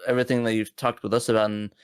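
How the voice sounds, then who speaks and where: A man co-hosting a podcast interview, talking quickly in casual, appreciative chatter. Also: mid-range voice, little pitch movement, smooth, no room sound, clean studio sound. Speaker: podcast co-host.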